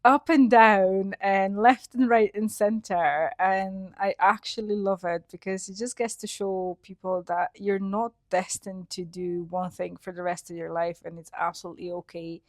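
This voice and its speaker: A woman from Slovenia, a nutrition specialist, telling her story in an interview with lively swings and frequent laughs. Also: medium pitch, moderate pace, few pauses, no echo, studio quality.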